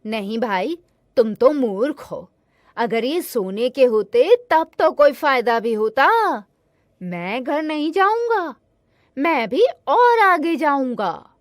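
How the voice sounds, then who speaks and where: A woman's voice: high-pitched, big pitch swings, slow, dry recording, clean studio sound, full character voice. A woman voicing a greedy, stubborn village man in a cartoon story, insistent and dismissive. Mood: scornful.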